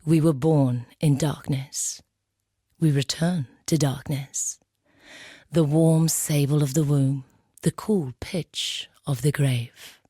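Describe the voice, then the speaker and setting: A woman's voice: steady tone, takes it slowly, no echo, studio quality, full character voice. A woman voicing a serene, morbid necromancer in a video game, speaking in a formal, theatrical way with reverence for death. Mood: ominous, entranced. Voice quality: low, dark, breathy, often sinking to a whisper. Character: serene, morbid female necromancer and self-styled death artist. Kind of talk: video game character monologue.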